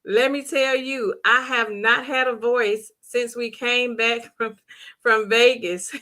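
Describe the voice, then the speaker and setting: A middle-aged woman with a hoarse voice, hosting a live stream and warmly greeting viewers by name in the chat. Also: fairly high pitch, steady tone, slow. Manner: bubbly, repetitive greetings. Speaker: livestream host.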